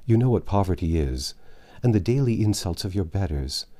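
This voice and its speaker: A man's voice: low voice, expressive, moderate pace, warm tone. A man reading an audiobook as a grieving, starving peasant mother, telling of hardship in impassioned, archaic speech. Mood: pitying, bitter. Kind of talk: dramatic audiobook character reading.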